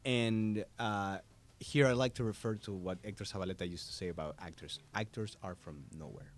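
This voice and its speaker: A male voice actor answering interview questions in a podcast studio, measured and thoughtful with pauses. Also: lowish voice, slight background noise. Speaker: voice actor and audiobook narrator. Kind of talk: conversational interview. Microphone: studio mic.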